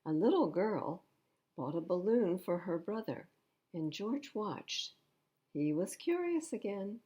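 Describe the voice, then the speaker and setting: A woman reading a picture book aloud to children at storytime, expressively, pausing to explain the pictures in simple words. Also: mid-range voice, big pitch swings, mellow, dull audio. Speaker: library storytime reader.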